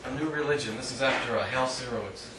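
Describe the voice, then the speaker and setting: A man giving a live poetry reading in a measured, declamatory way with dry humour, adding short spoken asides. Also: mid-range voice. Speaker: poet reading own work. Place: indoor literary cafe reading. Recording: echoey live room, distant mic, faint noise.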